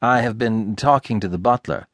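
A man reading a storybook, voicing a calm, modest young American man in a restrained, matter-of-fact way. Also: on the low side, normal speed, no echo, studio quality. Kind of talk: audiobook character acting.

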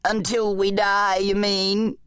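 A woman's voice: mid-range voice, flat delivery, slow pace, a bit bright, smooth, dry recording, studio quality, voice pushed up. A woman voicing a small, whiny, childish talking creature, an annoying sidekick in an acted audiobook story. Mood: needling, sulky. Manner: whining, needling.

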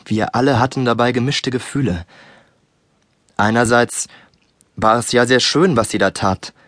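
A man's voice: medium pitch, moderate pace, fairly bright tone, no echo, clean studio sound, close to an everyday voice. A man playing a disciple who narrates his memories reflectively, in scripted children's audiobook style. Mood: fond, wry. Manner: reflective, storytelling. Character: male disciple recounting memories as storyteller.

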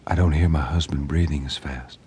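A man voicing a badly hurt middle-aged woman who speaks in a faint, hushed whisper in a true-crime audiobook. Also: very deep voice, fairly even intonation, a little fast, husky, heavily acted.

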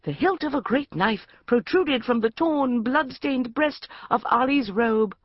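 A woman's voice: high-pitched, animated, measured pace, no room sound, highs cut off, pitched above the performer's own voice. A woman voicing a bold young woman reading an adventure tale aloud with heightened drama. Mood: dramatic.

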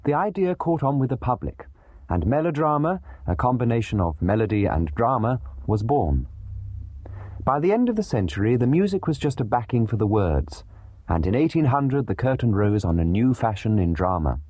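A man reading a scripted documentary narration in a literate, measured way with dramatic emphasis. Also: medium pitch, big pitch swings, darkish tone, breathy edge, dry recording, clean studio sound.

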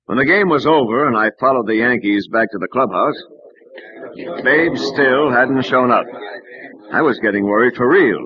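An actor playing a loyal, anxious American sportswriter, earnestly narrating and acting in an old-time radio drama. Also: male voice, medium pitch, animated, moderate pace, husky. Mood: somber narrating. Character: loyal, worried male sportswriter friend, narrating. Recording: dull, dry old radio recording.

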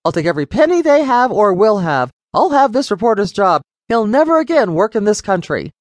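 A woman's voice: on the low side, expressive, normal speed, dry recording, natural voice. A woman voicing an angry wealthy father, raised and blustering with threats. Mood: raging.

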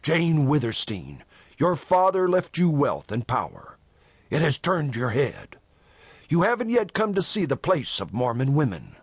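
A man's voice: fairly high pitch, wildly swooping pitch, measured pace, slightly rough, no room sound, heavily acted. A man voicing a grim, menacing religious elder. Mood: commanding, righteous. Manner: tense, harsh, commanding. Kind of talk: audiobook character dialogue in a western.

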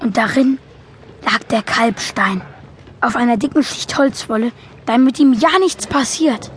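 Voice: mid-range voice, unhurried, a little hiss or hum, lightly acted. A young performer playing a naive boy who narrates a German film audio drama in a simple, matter-of-fact way. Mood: earnest.

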